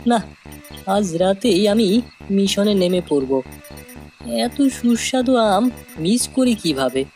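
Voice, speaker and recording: Bold village kid over prominent music. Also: female voice, medium pitch, big pitch swings, slow. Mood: scoffing, determined. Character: bold, curious, greedy village child, scoffing at warnings. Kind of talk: animated moral-tale cartoon acting.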